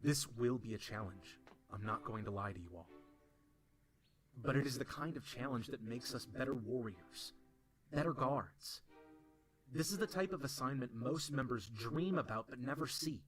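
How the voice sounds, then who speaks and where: A man's voice: medium pitch, animated, normal speed, natural voice. A man playing an earnest, dutiful leader of a team of guards in an audio drama. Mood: solemn, rousing. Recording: loud music behind. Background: dramatic orchestral score.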